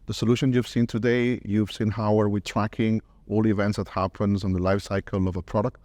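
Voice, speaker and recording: A man in his fifties with a low, dryly recorded voice answers questions in an on-site interview. He speaks haltingly, repeating words as he explains. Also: a little mellow, dry recording. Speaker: interviewed corporate marketing director. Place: medium conference room, indoors.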